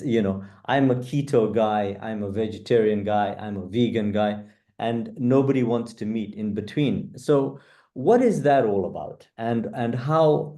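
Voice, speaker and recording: A middle-aged man from the UK, answering interview questions in a measured, earnest way with frequent fillers. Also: mid-range voice, dark tone. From UK, born in East Africa. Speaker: guest, coach.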